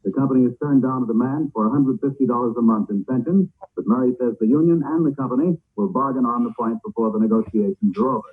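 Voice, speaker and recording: An old, narrow-band radio recording of a man reading news in 1940s style. His voice sits at an average pitch with a husky haze, and his delivery is formal and declarative. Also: fast, few pauses. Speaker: radio newscaster.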